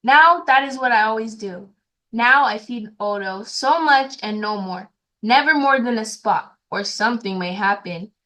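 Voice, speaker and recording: A woman reading a story aloud to children in an expressive, emphatic way, addressing young listeners directly. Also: on the high side, moderate pace.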